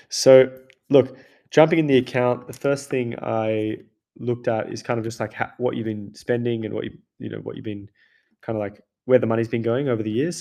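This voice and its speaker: A man's voice: mid-range voice, gravelly, darkish tone. A man hosting a video call, walking a guest through an ad account in a loose, chatty way full of "like" and "kind of". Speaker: host, ads consultant. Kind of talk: casual screen-share teardown.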